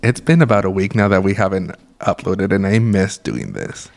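A young man co-hosting a casual podcast, talking with a raspy just-woke-up voice and joking and laughing as he tells stories. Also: low-pitched, steady tone, normal speed. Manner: joking, laughs often, rambling storyteller. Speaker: podcast co-host. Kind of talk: casual chat.

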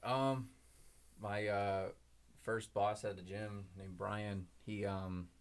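Low-voiced, easygoing male guest. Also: fairly even intonation, slow pace. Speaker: podcast guest, fitness trainer. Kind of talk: casual podcast chat.

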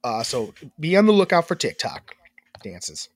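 Congested young man, lively, stuffed up. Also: mid-range voice, measured pace, no echo. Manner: rambling, self-interrupting, animated. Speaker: podcast co-host. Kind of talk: casual chat.

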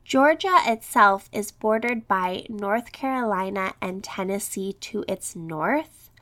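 A woman's voice: medium pitch, expressive, slow pace, a bit bright. A woman hosting a true crime podcast, reading a scripted narration in a slightly scratchy voice as she recovers from an illness. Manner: reads script with quotes and statistics.

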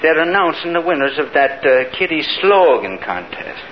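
A man's voice: fairly high pitch, very animated, moderate pace. An actor playing a genial, chatty neighborhood policeman in a 1950s radio comedy, folksy and hearty. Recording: dull, hissy 1950s radio recording.

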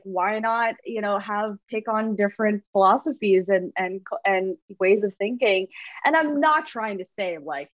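A woman's voice: mid-range voice, no echo. A woman talking as a podcast guest, thoughtful and earnest, often restarting her sentences. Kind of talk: reflective conversational chat. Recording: dull, dry sound.

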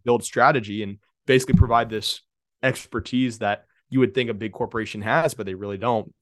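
Man, 20s, low-pitched, easygoing guest talk, dry close recording. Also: no room sound. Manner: relaxed, conversational, many fillers and restarts. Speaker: guest, marketing consultant. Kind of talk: casual podcast interview.

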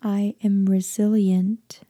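Breathy, hushed woman guiding a slow meditation. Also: mid-range voice, little pitch movement, dry recording, clean studio sound. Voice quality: soft, breathy, half-whispered. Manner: gentle, hushed, deliberate with long pauses. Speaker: meditation guide. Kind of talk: guided meditation, slow soothing instruction.

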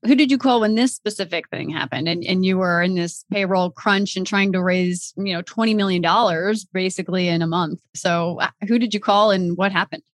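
A woman's voice: fairly low pitch, no room sound, clean studio sound. Curious woman host in her thirties. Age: 30s. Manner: curious, quick follow-up questions. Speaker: podcast host. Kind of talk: casual interview chat.

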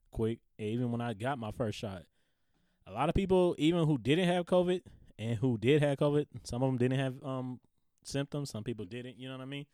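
Young man, podcast co-host, casual slangy chat, mid pitch, dry close mic. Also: darkish tone, no room sound. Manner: laid-back, laughs often, slangy.

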